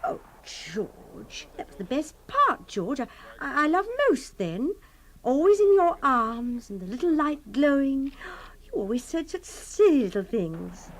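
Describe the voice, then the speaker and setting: A woman's voice: fairly high pitch, low-quality recording. An actress playing a clinging, melodramatic wife in her thirties in a radio drama, pleading and sighing theatrically. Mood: grieving, regretful.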